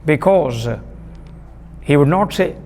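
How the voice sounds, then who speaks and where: A man giving a classroom lecture on literary theory, deliberate and repetitive, pausing with fillers. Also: on the high side, lively intonation, a little mellow, long reverb tail, slight background noise. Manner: deliberate, repeats key terms, frequent uh fillers.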